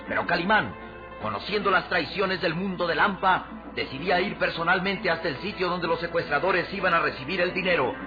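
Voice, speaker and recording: High-pitched male radio serial narrator over music. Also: brisk. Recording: muffled old radio drama recording. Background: prominent music.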